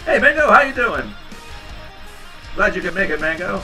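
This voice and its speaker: A man's voice: very animated, normal speed, sharp tone, far from the mic, soft background music. Tired man chatting on a livestream. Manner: rambling, low-key, tired. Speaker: livestream host reading chat. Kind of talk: casual chat with viewers. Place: small home room indoors. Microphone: headset.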